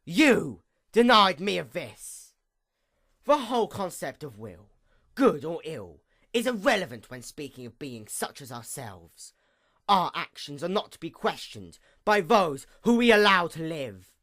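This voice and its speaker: A performer acting out a bitter pony goddess of the night, female and vengeful. The delivery of this villain monologue is grandiose and formal, with a mid-pitched voice that sweeps widely between fierce outbursts and hushed menace. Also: very animated, a little slow, exaggerated voice. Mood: bitter, indignant. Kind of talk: dramatic reading, theatrical villain monologue.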